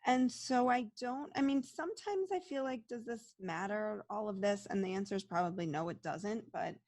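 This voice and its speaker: A woman's voice: mid-range voice, normal speed, darkish tone, dry recording, studio quality. A middle-aged woman speaking openly and reflectively as an interview guest, often restarting her sentences. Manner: open, reflective, many restarts. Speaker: interview guest, psychologist and medium. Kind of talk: casual interview talk.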